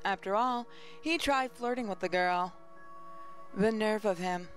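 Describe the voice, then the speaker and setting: A woman's voice: medium pitch, unhurried. Female gaming host reading visual novel narration; mid-range voice over light background music. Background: faint music.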